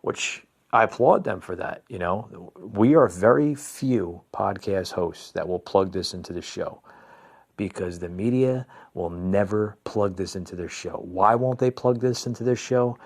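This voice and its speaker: A man from New Jersey hosting a podcast, ranting through political commentary, calling listeners "folks" and stumbling over repeated words. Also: on the low side. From New Jersey, USA. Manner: repetitive restarts, stammering repeats. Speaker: podcast host.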